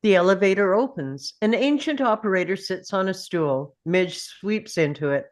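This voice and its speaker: A woman's voice: medium pitch, expressive, moderate pace. A woman reading scripted stage directions as narrator at a table read.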